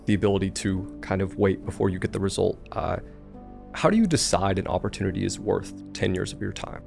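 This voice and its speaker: Interviewer guy, kinda low and gravelly, asks careful questions, music faint behind. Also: fairly quick.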